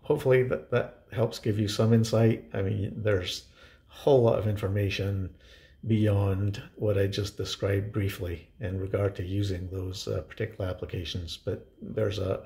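A man narrating an informal how-to tutorial, explaining things in halting, hesitant phrases. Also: on the low side, vocal fry, mellow. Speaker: DIY home tech presenter.

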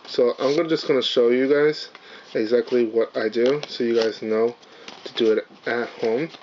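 Man host, hoarse and raspy, chatty with fillers, mid-pitched, dull lo-fi camera sound with faint hiss. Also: fairly even intonation, normal speed, a little mellow. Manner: chatty, apologetic, lots of fillers. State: hoarse, partly lost voice. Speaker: channel host, card unboxer. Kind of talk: casual unboxing vlog. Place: indoors at a table, camera on the cards.

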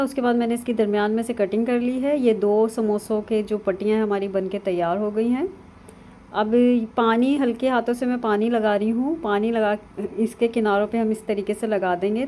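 A woman, calmly narrating a cooking lesson step by step over video of her hands at work. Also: fairly high pitch, slight background noise. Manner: calm, instructive, with frequent fillers. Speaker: cooking channel host. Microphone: voice-over over hands-only video.

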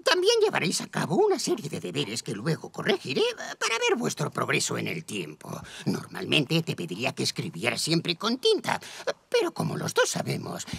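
An actor playing a fussy, formal, easily flustered elderly tutor in a Castilian Spanish cartoon dub. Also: male voice, on the high side, creaky, a bit bright, breathy edge, dry recording, exaggerated voice. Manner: formal, theatrical, exclamatory. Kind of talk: animated series dub acting.

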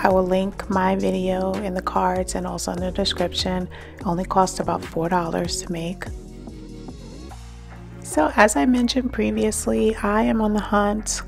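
A middle-aged woman narrating a shop-with-me vlog about home decor, enthusiastic and gushing over finds, sometimes dropping to a soft whisper. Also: mid-range voice, vocal fry. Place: in a car, then walking through large stores.

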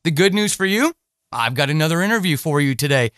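A man with a fairly high voice hosts a podcast interview. He speaks conversationally and with open enthusiasm. The sound is dry, with no room echo. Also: expressive, fast talker, a bit bright.

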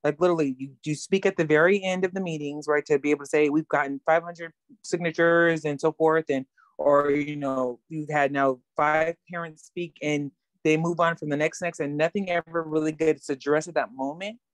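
A woman's voice: low-pitched, steady tone, moderate pace, dry recording. A woman taking part in an online workshop, talking earnestly with many restarts over a video call. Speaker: workshop participant. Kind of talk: casual discussion in a video call.

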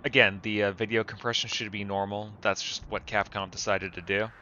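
A man's voice: on the low side, level tone, brisk, bright, forceful tone, highs cut off, soft background music. A man talking informally through a casual how-to screen-capture video. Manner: informal, conversational. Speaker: tutorial video maker.

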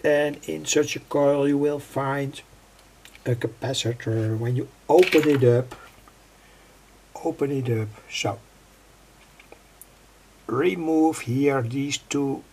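An older man giving an unscripted hands-on tutorial in a halting, deliberate way, often repeating his phrases. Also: medium pitch, a little mellow. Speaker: electronics hobbyist presenter. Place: indoors at a home workbench. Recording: clearly noisy home recording.

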